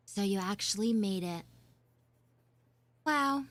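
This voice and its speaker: A woman live streaming an audio roleplay as a bossy, secretive tsundere schoolgirl, halting and teasing, slipping into hushed asides. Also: medium pitch, animated, dry recording. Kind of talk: live in-character audio roleplay with chat banter.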